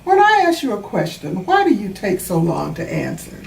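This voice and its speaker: A woman's voice: medium pitch, huge pitch swings, normal speed, vocal fry. A woman voicing an earnest young granddaughter in a storybook, full of questions. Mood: puzzled. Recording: roomy, distant mic, faint noise.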